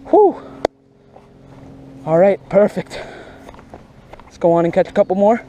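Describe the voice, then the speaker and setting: A young man giving casual, slangy and excitable fishing commentary outdoors, recorded on a body-worn action camera. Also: high voice, a little slow, a little hiss or hum. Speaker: fishing vlogger. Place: outdoors beside a grassy pond.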